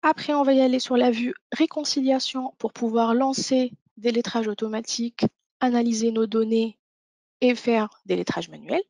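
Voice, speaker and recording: Creaky-voiced woman presenting a software demo. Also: a little high, fairly even intonation, moderate pace, no echo. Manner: step-by-step explanatory. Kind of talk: instructional webinar walkthrough.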